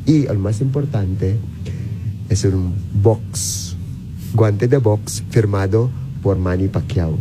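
Male interview guest, diplomat, low voice, halting second-language delivery, noisy ambience. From Philippines. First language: Filipino. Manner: halting, searching for words. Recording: clearly noisy ambience behind the voice.

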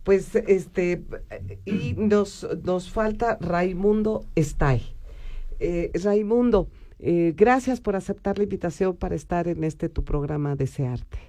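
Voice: mid-range voice, slow, dark tone. A radio host with a Mexican accent interviewing guests in the studio, chatty and full of fillers.

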